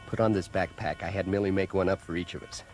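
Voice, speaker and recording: An actor in a science fiction audio drama playing a resolute, practical man leading an escape, brisk and commanding. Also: deep voice, fairly even intonation, darkish tone, faint music behind, lightly acted. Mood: urgent, firm.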